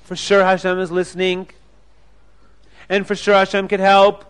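A man doing a calm wise old rabbi. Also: high-pitched, moderate pace, clear, resonant tone, lightly acted. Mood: reassuring. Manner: calm, reassuring. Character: wise, calm elderly male rabbi, gentle and reassuring.

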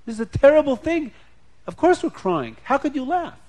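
A man's voice: extremely high pitch, very animated, moderate pace, a little mellow, voice pushed up. A man voicing a group of grieving ancient male sages, upset and questioning insistently. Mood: distraught.

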